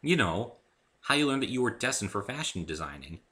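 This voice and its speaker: A man's voice: medium pitch, big pitch swings, fairly quick, bright, forceful tone. A man voicing a polite, curious male visitor in a story, casual and a little hesitant.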